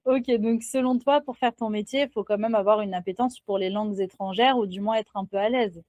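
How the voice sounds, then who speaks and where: A woman's voice: mid-range voice, a little fast, a little mellow, no echo. A woman hosting a live interview, casual and enthusiastic. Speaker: host.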